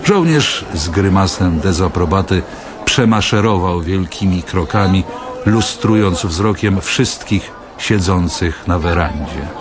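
An actor playing a world-weary, brooding man who narrates a radio drama in a measured, literary, reflective way. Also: low voice, expressive. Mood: wistful. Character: world-weary, brooding man recalling a wartime-era evening.

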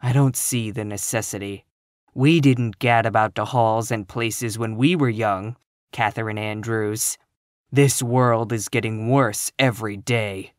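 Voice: medium pitch, measured pace, no room sound, clean studio sound, lightly acted. A narrator voicing a grim, sour, scornful spinster in her fifties from a storybook, full of gloom and contempt. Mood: grim, disapproving. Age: 50s. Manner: grim, scornful, flat pronouncements.

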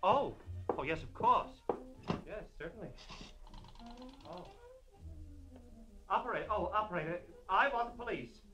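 An actor playing a prissy, timid busybody neighbor in an old radio drama, flustered and dithering. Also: male voice, high-pitched, animated, a little slow, pauses often, sharp tone, muffled recording, full character voice. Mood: flustered, nervous. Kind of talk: 1950s radio comic character acting. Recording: old radio recording with music behind.

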